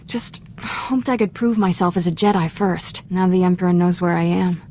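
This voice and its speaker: Woman as low-voiced, intense young Jedi apprentice. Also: moderate pace, a little mellow, slight background noise, lightly acted. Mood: earnest resolve. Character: young female Jedi apprentice, defiant, wounded, earnest. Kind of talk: scripted video game dramatic acting.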